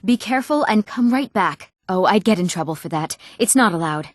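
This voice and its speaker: A woman's voice: medium pitch, expressive, brisk, dry recording, natural voice. Woman voicing an earnest young fantasy townswoman, dry recording. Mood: chatty, reproachful. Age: young adult. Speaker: video game voice actress. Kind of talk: scripted fantasy game dialogue.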